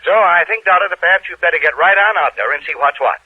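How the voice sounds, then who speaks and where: A man's voice: high voice, expressive, quick pace, husky, dry recording. A man playing a fussy insurance office boss in old-time radio drama, speaking hesitantly and indirectly through a telephone filter. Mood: businesslike. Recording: thin telephone line, old radio recording.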